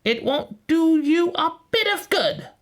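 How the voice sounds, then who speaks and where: Shrill, high-pitched wicked old witch. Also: lively intonation, exaggerated voice. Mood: harsh, commanding. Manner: shrill, drawn-out scolding and commanding. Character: wicked old witch, scolding, gloating, bossy.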